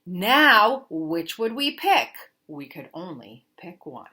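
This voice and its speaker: A woman reading a children's picture book aloud like a teacher, with expressive, deliberate, sing-song emphasis. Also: on the low side. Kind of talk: animated children's storybook read-aloud.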